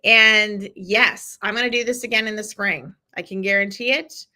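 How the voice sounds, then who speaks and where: A middle-aged woman hosts a live stream, talking straight to her viewers in a chatty, encouraging way. Her voice is bright and a little tense, and her pitch sits in a middle range. She keeps filling pauses with ums and restarts as she reads comments. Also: fairly even intonation. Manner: chatty and encouraging, with many fillers. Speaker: podcast host running a live challenge. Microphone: streaming from a computer.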